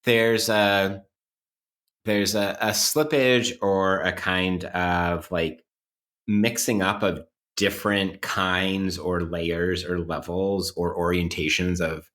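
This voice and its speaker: A man's voice: low voice, fairly even intonation, takes it slowly, fairly bright tone. A man co-hosting a podcast, talking in an informal, thoughtful way and refining his wording as he goes. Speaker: podcast co-host. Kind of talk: conversational intellectual discussion.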